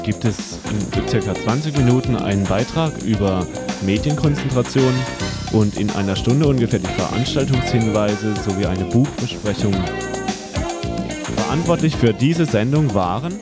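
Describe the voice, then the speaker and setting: Male radio presenter, fairly low pitch, informal programme announcements, loud music bed underneath. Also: expressive, normal speed. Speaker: radio host. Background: music playing under the voice.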